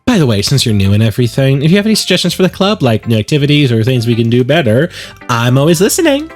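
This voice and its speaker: A man's voice: mid-range voice, very animated, quick pace, soft background music. A man voicing an upbeat, encouraging teenage schoolgirl club president in a visual novel, confident and reassuring. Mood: friendly.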